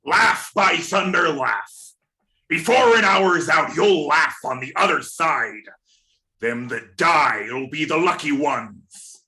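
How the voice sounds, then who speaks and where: An actor playing a sly, falsely fatherly old pirate in a radio play, halting and taunting. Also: medium pitch, animated, bright, forceful tone, exaggerated voice. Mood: menacing.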